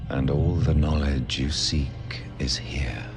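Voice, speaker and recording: A man playing a sinister, commanding summoner of a dark cult in a fantasy game, with a gravelly voice. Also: extremely low pitch, takes it slowly, over music. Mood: menacing, coaxing.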